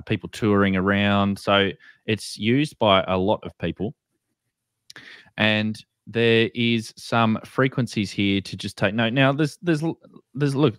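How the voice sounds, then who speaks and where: A man with an Australian accent hosting a casual explainer, speaking informally and haltingly, often repeating himself. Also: deep voice, a bit bright, clean tone, no room sound, studio quality. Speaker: host. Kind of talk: casual explainer with screen share.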